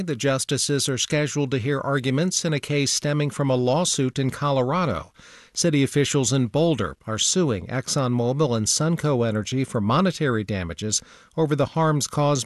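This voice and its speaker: A man reading the hourly radio news bulletin in a steady, measured way. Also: medium pitch, dry recording, studio quality. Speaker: newscaster. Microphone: studio microphone.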